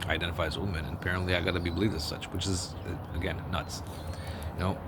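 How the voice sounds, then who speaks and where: A man with a deep bass voice giving a blunt, profane, rambling opinion monologue with many restarts. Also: little pitch movement, rapid-fire. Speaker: vlogger. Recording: outdoor street noise with passing vehicles.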